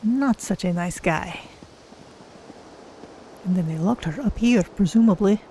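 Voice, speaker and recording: A calm cat-folk adventurer played by a woman muses her way through a fantasy game. Her voice is mellow and relaxed with a creaky edge. She is thoughtful and curious, over faint background noise. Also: medium pitch, a little slow, natural voice. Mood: musing. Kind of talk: in-character game stream narration.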